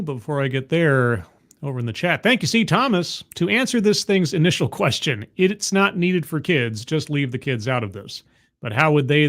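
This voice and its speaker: A man's voice: on the high side, a bit bright. A man commenting live on a video he is watching, making wry, skeptical asides and thanking viewers in the chat. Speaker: streamer reacting to a video. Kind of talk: casual live-stream commentary.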